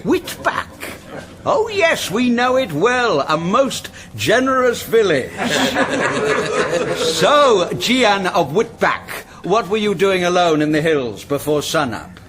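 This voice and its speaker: An actor in a radio drama playing a mocking, menacing outlaw lord with a sardonic, theatrical drawl. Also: male voice, high voice, very animated, takes it slowly, a crowd in the background, heavily acted.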